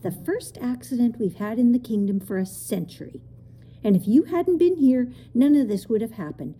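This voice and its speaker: A woman's voice: mid-range voice, very animated, moderate pace, dark tone, slightly rough, exaggerated voice. A woman voicing a tall, haughty, irritable male official in a children's storybook, stern and exasperated. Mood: annoyed. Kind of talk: children's storybook character reading.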